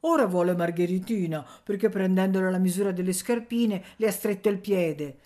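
Woman acting a high-pitched, rambling drunken older woman. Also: moderate pace, warm tone, natural voice. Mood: gossipy, insistent. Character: drunken, repulsive older serving woman, gossipy and fond.

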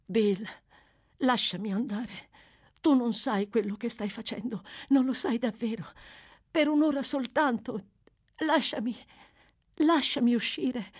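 Woman acting desperate young woman, raised pitch. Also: sing-song, unhurried, hardly any pauses, no room sound, studio quality. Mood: urgent pleading. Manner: ardent, insistent, pleading. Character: desperate, stubborn young woman pleading to leave.

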